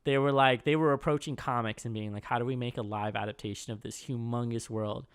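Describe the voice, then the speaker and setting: Man in his twenties, smooth clear voice, dry close sound, rambling casual chat, says "like" constantly. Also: mid-range voice, fairly quick, no echo. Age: 20s. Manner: rambling, heavy on "like", animated retellings. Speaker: podcast co-host.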